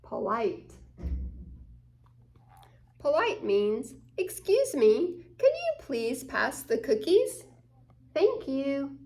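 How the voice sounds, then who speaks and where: A woman reading a picture book aloud to children as a story time host, expressively and deliberately, explaining things simply. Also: sits high, wildly swooping pitch, a little mellow. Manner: slow, deliberate, acting out quoted lines. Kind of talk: expressive children's read-aloud with asides to listeners.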